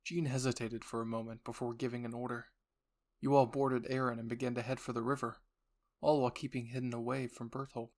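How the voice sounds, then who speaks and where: A male narrator tells a scripted roleplay story in the second person. His voice is medium in pitch with a noticeable gravelly creak. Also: level tone, a little fast, a little mellow. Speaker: narrator and voice actor.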